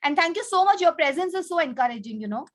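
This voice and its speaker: A woman's voice: very high-pitched, expressive, fast. A woman hosting and teaching, addressing children in an instructive way and prompting them with questions over an online video call. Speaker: contest host and teacher. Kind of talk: explaining rules and addressing children.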